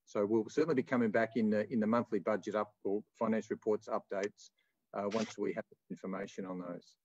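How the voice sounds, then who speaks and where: A man with an Australian accent giving a formal council finance report in a measured, hesitant, explanatory way. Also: on the low side, steady tone, a little mellow, dry recording. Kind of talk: formal meeting report and answers. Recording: slightly dull meeting audio.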